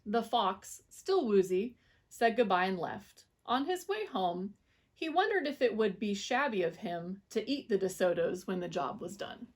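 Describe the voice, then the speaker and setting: A woman's voice: medium pitch, measured pace. Woman reading a storybook aloud. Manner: expressive, animated storytelling. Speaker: children's story reader. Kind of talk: reading a picture book aloud to children.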